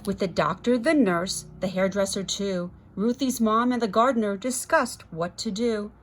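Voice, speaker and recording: A woman's voice: mid-range voice, normal speed, slight background noise. A woman reading a rhyming children's story aloud expressively, with chatty asides to young listeners. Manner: rhythmic, dramatic, addressing children. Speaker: guest storybook reader.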